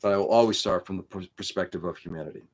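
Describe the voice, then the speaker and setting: A man's voice: low voice, rapid-fire. Casual livestream host on his laptop, a Greek-native man who swears a lot and talks in loose, opinionated tangents. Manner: rambling, profane, opinionated. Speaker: livestream host building model kits. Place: indoor room.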